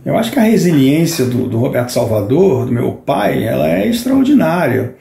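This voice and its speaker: A man's voice: on the high side, sing-song, normal speed, creaky, warm tone. A middle-aged Brazilian man giving a reflective spoken testimonial. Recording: roomy echo, background noise.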